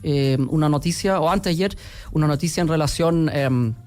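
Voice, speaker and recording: A man's voice: a little high, normal speed, darkish tone, soft background music. A man with a German accent, giving hesitant, filler-heavy answers as a guest on a radio interview. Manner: hesitant, many fillers and restarts. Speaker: guest, company executive.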